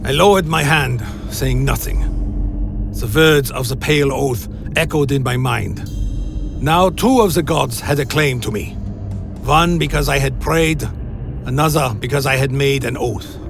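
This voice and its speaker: A man playing a cursed servant of a lich, narrating a dark fantasy radio play in solemn, theatrical first person. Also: mid-range voice, measured pace, creaky, over music. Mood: brooding. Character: Alaric the Damned, cursed blood-bound male servant of a lich.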